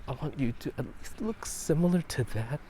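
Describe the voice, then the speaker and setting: A man whispering a casual, rambling ASMR chat in a halting, unhurried way while out walking through a town at night. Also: on the high side, big pitch swings, mellow, a little hiss or hum. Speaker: ASMR video host.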